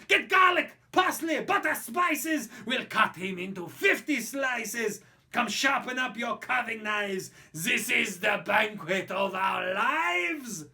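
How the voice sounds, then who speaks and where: A woman reading a storybook poem, shouting the lines of a greedy, frenzied mob of men. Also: on the high side, very animated, takes it slowly, vocal fry, heavily acted. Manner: shouting wildly.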